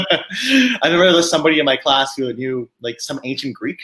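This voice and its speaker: Fast-talking young man from Quebec. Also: fairly high pitch, very animated, a bit bright, rough recording. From Quebec, Canada. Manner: quick, loose talk with many fillers. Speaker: co-host friend. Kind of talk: casual chat.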